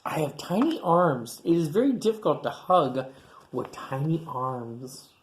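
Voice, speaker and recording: A man voicing a small, earnest young male dinosaur in a children's storybook read-aloud, speaking slowly and carefully. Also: fairly high pitch, expressive, rough recording.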